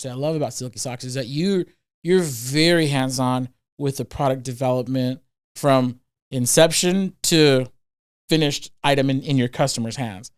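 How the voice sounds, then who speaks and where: A man's voice: medium pitch, smooth. A man hosting a podcast interview, enthusiastic and restarting his words as he asks questions. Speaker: podcast host.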